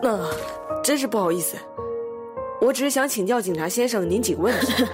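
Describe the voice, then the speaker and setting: An actress playing an eager, analytical young legal assistant in an audio mystery drama, earnest and reasoning. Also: female voice, on the high side, expressive, moderate pace, natural voice. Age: young adult. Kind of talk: radio drama acting. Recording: music behind the voice.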